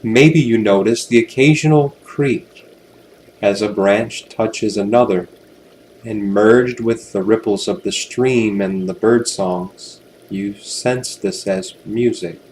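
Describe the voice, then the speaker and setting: A man narrating a guided hypnosis relaxation in a soothing, gentle, unhurried way with long pauses. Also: lowish voice, a little hiss or hum, rough recording.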